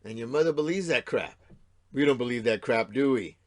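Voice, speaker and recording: A man's voice: mid-range voice, expressive, a little fast, a little mellow, close to an everyday voice. An actor playing a crude, menacing middle-aged father in an audio play, with swaggering, goading macho talk. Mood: sly, probing. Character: menacing, crude middle-aged father, estranged husband, needling his son.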